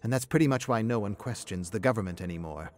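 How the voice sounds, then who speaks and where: A man's voice: on the low side, quick pace, darkish tone, lightly acted. A man voicing an anxious, grieving middle-aged woman in a story, speaking in a hesitant, wistful way. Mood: bitter, wry.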